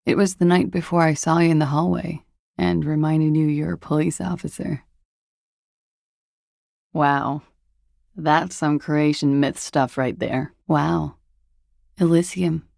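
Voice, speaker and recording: An actress playing a cool, guarded young woman in a video game, with a husky, breathy, gravelly voice and a languid, dryly understated delivery. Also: deep voice, fairly even intonation, slow pace, long pauses, darkish tone, no echo, exaggerated voice. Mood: wry, detached. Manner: languid, dry, wryly understated. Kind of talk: video game character dialogue.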